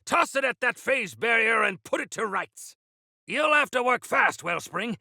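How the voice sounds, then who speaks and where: An actor playing a spry, jovial yet fierce old rebel elder in a video game, with a gravelly voice and a grandiloquent, mischievous manner. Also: male voice, very high voice, expressive, a little slow, sharp tone, no echo, exaggerated voice. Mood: formal, sly. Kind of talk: theatrical video game character dialogue.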